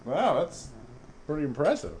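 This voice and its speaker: A man co-hosting a comedy radio bit, playing it straight in deadpan banter and stumbling through restarts. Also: fairly high pitch, moderate pace, a little hiss or hum, poor audio. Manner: hesitant, many fillers and restarts. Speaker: radio show co-host.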